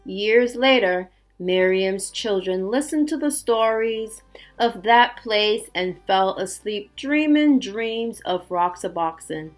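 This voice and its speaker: Female teacher for picture-book read-aloud: slow, expressive delivery, mid-range pitch, faint music underneath. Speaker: teacher reading a storybook aloud. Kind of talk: children's read-aloud with chatty asides.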